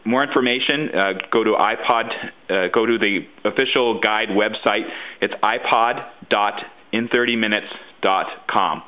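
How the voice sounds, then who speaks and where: A man's voice: lowish voice, unhurried, few pauses. A man presenting an informal how-to demonstration, explaining things step by step. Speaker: tutorial presenter and guide author. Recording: muffled, phone-like narrow-band sound.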